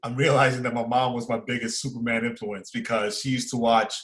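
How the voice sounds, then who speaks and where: A man, chatting casually and excitably as a podcast co-host, using slang and repeating phrases for emphasis. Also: medium pitch, brisk. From Far Rockaway, New York, United States. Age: 40s. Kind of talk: casual fan chat, reviewing and reacting.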